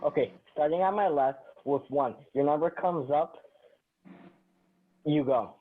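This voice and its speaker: A man's voice: a little high, unhurried, breathy edge. Tinny, sarcastic man reading a sitcom guy. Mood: dry. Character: sarcastic, cool, self-assured sitcom man. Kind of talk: sitcom script table read. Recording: tinny, phone-like call audio.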